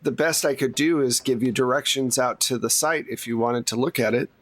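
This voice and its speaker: Unhelpful local police officer, male player, polite and evasive, medium pitch, dry recording, tabletop role-play. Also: fairly even intonation, fairly quick, a bit bright, dry recording, natural voice. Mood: apologetic, evasive. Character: apologetic, unhelpful small-town police officer.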